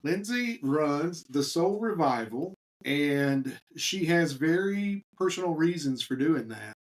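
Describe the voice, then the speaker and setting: Man co-host, loose banter, somewhat higher-pitched, stumbles over words. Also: animated, slow. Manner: chatty, with stumbles and repeated words. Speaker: podcast co-host. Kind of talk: casual podcast banter and interviewing.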